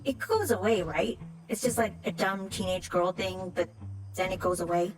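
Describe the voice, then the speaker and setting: A woman's voice: medium pitch, normal speed, over music, close to an everyday voice, rough recording. An actress voicing an insecure young woman who speaks in a hesitant, confessional way. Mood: confiding.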